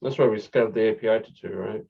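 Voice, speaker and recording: Husky man on muffled call. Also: on the low side, fast. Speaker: software engineer in a team meeting. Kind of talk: casual technical work discussion. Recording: dull, muffled call audio.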